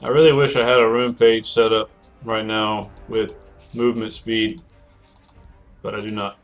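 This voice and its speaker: A man's voice: lowish voice, level tone, normal speed, soft background music. A man streaming a video game, chatting casually and rambling with many self-corrections, his voice cracking from a cold. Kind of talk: casual gameplay commentary.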